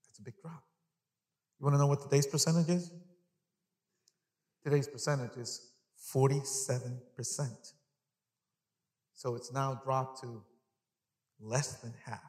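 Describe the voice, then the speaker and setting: A man's voice: mid-range voice, fairly even intonation, unhurried, long pauses, darkish tone. Middle-aged male preacher in an echoey hall. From Long Island, New York, United States (born in El Salvador). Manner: conversational, repeats phrases for emphasis, asks the audience questions. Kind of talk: sermon to a live congregation. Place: large indoor church hall, speaking to an audience. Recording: echoey hall with long reverb.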